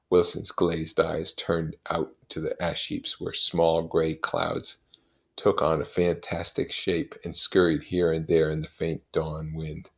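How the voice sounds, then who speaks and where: A man reading literary prose aloud as an expressive narrator. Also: low voice, vocal fry. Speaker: reader.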